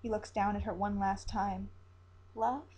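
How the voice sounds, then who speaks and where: Husky-voiced woman narrating a dark tale. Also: mid-range voice, little pitch movement, moderate pace. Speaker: storyteller, narrator of a story show.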